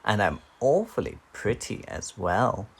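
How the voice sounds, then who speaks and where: A man's voice: lowish voice, big pitch swings, a little slow, creaky, husky, exaggerated voice. A man voicing a vain, graceful, boastful gazelle from a children's storybook in a showy, self-admiring manner.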